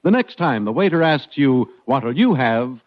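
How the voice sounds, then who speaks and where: Very animated American male radio announcer. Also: medium pitch, unhurried. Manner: emphatic, salesmanlike. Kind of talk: 1940s radio advertising announcing. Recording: muffled old radio, loud music.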